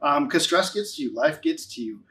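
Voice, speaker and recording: A man of forty-two with a slightly high voice speaks as a guest in an interview. He gives a conversational testimonial, repeating key phrases for emphasis. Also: animated, brisk, a bit bright. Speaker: interview guest, financial advisor.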